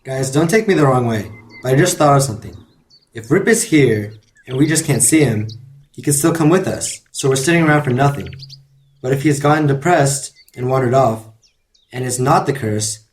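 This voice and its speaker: A man's voice: medium pitch, lively intonation, moderate pace, lightly acted. A man playing a level-headed young friend in an audio drama, reasoning things out in a matter-of-fact way. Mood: thoughtful.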